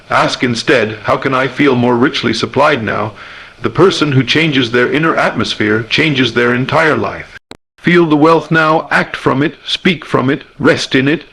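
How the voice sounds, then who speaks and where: A man with a husky, slightly rough voice at a medium pitch gives a scripted motivational speech. His delivery is solemn and emphatic, built on measured rhetorical phrases. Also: a bit bright, poor audio. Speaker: motivational speaker.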